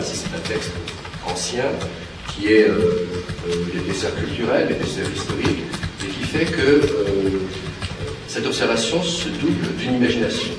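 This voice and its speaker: Low-voiced guy thinking out loud, lots of ums, far off in a big echoey room with music. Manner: hesitant, many fillers and restarts, thoughtful. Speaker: theatre translator. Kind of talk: reflective spoken talk.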